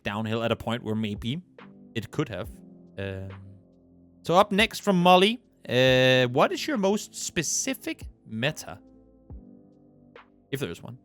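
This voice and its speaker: A man hosting a casual interview, reading viewer questions and giving friendly, affirming replies. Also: medium pitch. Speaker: host.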